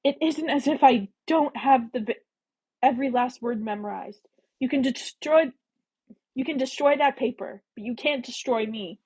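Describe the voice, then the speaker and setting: A woman voicing a proud, defiant young woman in a Regency romance audiobook, speaking forcefully and with emotion. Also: high-pitched, lively intonation, moderate pace, husky, pitched above the performer's own voice, low-quality recording.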